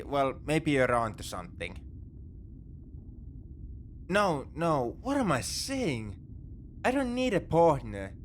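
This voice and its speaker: Man playing a grudging space pirate over noisy ambience. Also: mid-range voice. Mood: exasperated, relenting. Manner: exasperated, dismissive, teasing. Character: gruff, begrudgingly soft-hearted adult male space pirate captor. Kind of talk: sci-fi ASMR roleplay acting, addressing the listener.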